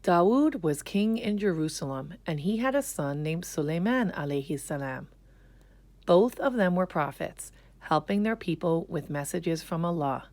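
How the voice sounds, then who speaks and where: A woman storyteller reading a children's storybook aloud in a deliberate, expressive way. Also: lowish voice.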